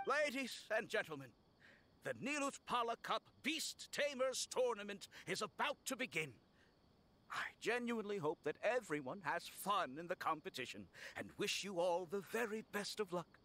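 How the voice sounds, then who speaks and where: A man's voice: high voice, very animated, moderate pace, sharp tone, heavily acted. A man playing an earnest, courteous, idealistic tournament patron making formal speeches in a video game. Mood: earnest, encouraging. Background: game music.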